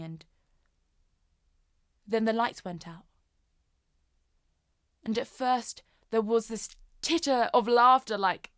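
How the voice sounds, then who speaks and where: A woman performing a naturalistic modern monologue as a shaken commuter, conversational, subdued and halting. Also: medium pitch, smooth, no echo, clean studio sound, natural voice. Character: shaken woman commuter recounting a frightening train ordeal.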